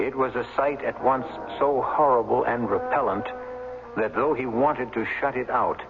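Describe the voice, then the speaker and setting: A man giving grave, deliberate, theatrical scripted narration for a 1970s radio drama. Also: fairly low pitch, creaky, phone-quality sound. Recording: thin, band-limited old radio broadcast.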